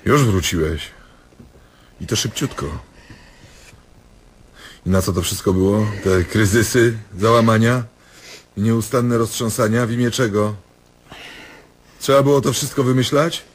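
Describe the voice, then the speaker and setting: An actor playing a diabolical doctor who toys mockingly with his patient in a theatrical, drawn-out radio drama style. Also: on the low side, husky. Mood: scolding.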